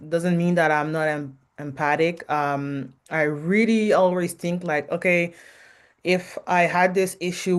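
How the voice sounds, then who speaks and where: A woman from Canada with a French Canadian accent, a podcast guest and marketing advisor who speaks frankly in a loud voice, pausing often with ums. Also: lowish voice. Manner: frank, hesitant with many ums, laughs often. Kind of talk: interview conversation.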